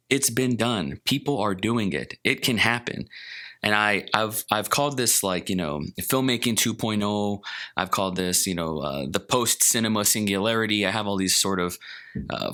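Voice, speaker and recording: Low, bright-edged male host in his thirties; earnest, rambling solo vlog talk. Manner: earnest, candid, rambling with repeated phrases. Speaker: podcast host and videographer. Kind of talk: reflective monologue vlog.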